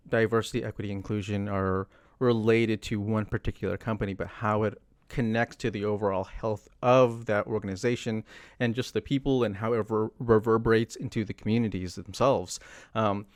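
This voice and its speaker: A young man hosting an interview from a home studio, speaking through a condenser mic in a measured way with frequent "you know" fillers. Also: lowish voice, no echo. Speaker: podcast host. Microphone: studio condenser mic with headphones.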